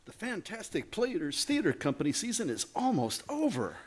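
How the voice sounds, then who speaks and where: Very animated husky man doing a promo. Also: sits high, moderate pace. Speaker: advert presenter. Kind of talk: scripted theater promo dialogue.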